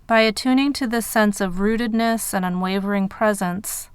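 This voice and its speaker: Female meditation narrator, medium register, very pure resonant tone, dry studio-like sound, measured delivery with space between phrases. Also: medium pitch, smooth, dry recording. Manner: measured, unhurried phrasing with pauses. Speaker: meditation guide.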